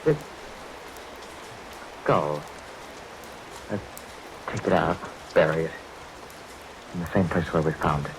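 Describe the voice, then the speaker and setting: A man's voice: very deep voice, slow pace, long pauses, full character voice. An actor playing a stubborn, brusque man who dismisses others' fears in a vintage radio play. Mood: brusque, resolute. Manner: impatient, brusque. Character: stubborn, dismissive man insisting all is normal. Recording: vintage radio recording with rain noise. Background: rain.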